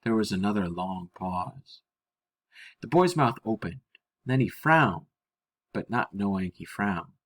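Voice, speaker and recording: A man's voice: lowish voice, animated, a little slow, pauses often, dry recording. A man reading a short story aloud as narrator, expressively.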